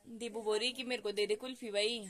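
Bright-voiced young woman with a Pahari accent. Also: fairly high pitch, fairly even intonation, brisk. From Himachal Pradesh, India. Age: young adult. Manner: giggly, teasing, talks straight to viewers. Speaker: vlogger. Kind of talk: casual chatty vlog. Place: outdoors on a hillside with family. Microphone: phone camera held at arm's length.

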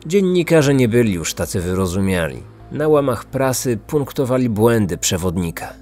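A man narrates a scripted true-crime podcast as its host. His pitch is average for a male voice, and music plays underneath. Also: big pitch swings, normal speed, a little mellow.

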